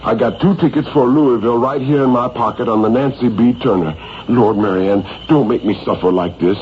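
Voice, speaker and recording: A man's voice: medium pitch, fairly quick, natural voice. A man voicing an urgent, imploring lover in a 1950s radio story. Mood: pleading, urgent. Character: pleading, urgent male lover planning to elope. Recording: noisy, muffled 1950s radio recording.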